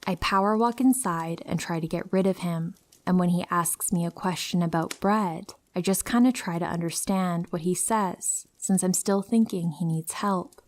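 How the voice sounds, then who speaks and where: A woman narrating true horror stories in a calm, steady first-person reading. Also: lowish voice, moderate pace, few pauses.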